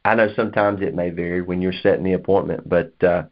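A man's voice: deep voice, steady tone, fast. A man talking casually and conversationally as a co-host of a sales coaching conference call. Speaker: co-host playing a customer in sales role-play. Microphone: conference call line. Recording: dull, lo-fi conference call audio.

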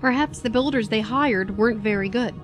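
Actress as high-voiced, wry companion. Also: female voice, fairly quick, faint music behind, natural voice. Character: wry, practical female travelling companion. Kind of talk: audio drama acting.

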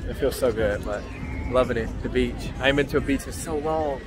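A man's voice: medium pitch, brisk, music in the background. An upbeat young man from talks straight to his camera, his easy and loose. He chats about his day and keeps urging his viewers to go out and travel. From Kentucky. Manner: upbeat, chatty, encouraging. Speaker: vlogger. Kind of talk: casual vlog talk to camera. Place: outdoors in sunny street and at beach. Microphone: handheld camera at arm's length.

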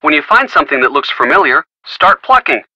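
An upbeat game helper who talks players through puzzles in a breezy, chummy way. His tone is very bright and forward, and the dry, close studio read leaves no room sound. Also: fairly high pitch, very animated, a little fast, slightly rough, dry recording, dull audio, exaggerated voice. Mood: helpful. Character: friendly male hint-line helper, upbeat. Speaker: in-game hint giver. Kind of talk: studio video game voice acting.

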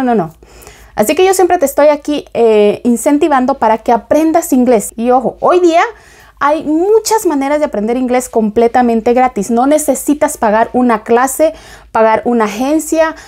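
A woman, giving casual advice to camera in a small home room on a lapel mic, lively and gesturing as she talks. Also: a little high. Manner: lively, gesturing with both hands, talks straight to the viewer. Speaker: vlogger.

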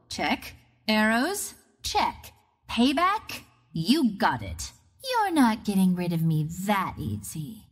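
A woman's voice: fairly high pitch, exaggerated voice. A woman playing a cocky, playful young archer heroine of the wind in a video game, with a breezy, sassy, theatrical delivery. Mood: cocky, musing. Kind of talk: video game hero voice lines.

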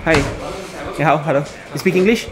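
A man's voice: on the high side, brisk, a crowd in the background. A man filming and interviewing on a casual walk around an office, asking short questions and echoing the answers back. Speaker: interviewer and camera operator. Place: open-plan office, indoors. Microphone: handheld camera mic, voice from behind camera. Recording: noisy office ambience, handheld camera sound.

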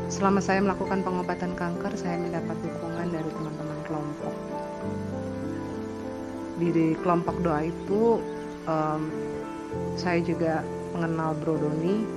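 A woman calmly reading her personal testimony as a voice-over, in a measured, even manner. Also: low voice. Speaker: cancer survivor sharing testimony. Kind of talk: read testimony over music. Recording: voice-over with loud background music.

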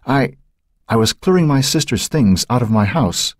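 Man voicing a halting, troubled woman. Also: mid-range voice, expressive, no echo, studio quality, voice pushed up, lightly acted. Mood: hesitant. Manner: halting, pleading, turning angry. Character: troubled, fearful woman under questioning.

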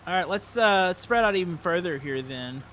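Bright, high-pitched, animated male gamer. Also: moderate pace, rough recording. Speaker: gamer in a multiplayer roleplay group. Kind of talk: casual gameplay chat.

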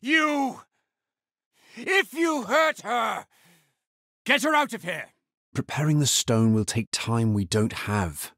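An actor playing a grave, solemn necromancer hero in a fantasy video game, speaking in a measured, formal manner. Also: male voice, sits high, expressive, vocal fry, sharp tone, no room sound, clean studio sound, full character voice. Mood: urgent, shouting. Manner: measured, somber, formal delivery. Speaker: video game player character. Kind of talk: scripted fantasy game voice lines.

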